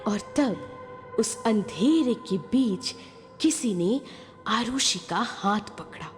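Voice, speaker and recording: A woman narrating a horror story dramatically, building suspense with phrases broken by pauses. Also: medium pitch. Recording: long reverb, clear music behind.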